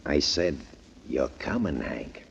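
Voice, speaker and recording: An actor playing a menacing, smug racketeer who sneers and taunts in a 1940s radio crime drama. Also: male voice, very deep voice, animated, slow pace, vocal fry, heavily acted. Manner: sneering, taunting, tough-guy talk. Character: menacing, smug male racketeer muscling into boxing. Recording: muffled, noisy 1940s radio recording.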